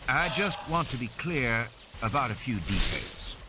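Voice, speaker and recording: A confident American field biologist in a novel, voiced by a male narrator. He is friendly and explains things with assurance, his pitch raised and moving freely over clear noise. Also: very animated, unhurried. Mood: assured, easygoing.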